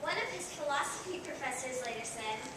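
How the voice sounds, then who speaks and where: A woman's voice: high voice, expressive, brisk. A female student performer giving scripted narration on stage in a school play, recorded from far off in a noisy, echoey hall. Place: large echoey room, live stage performance.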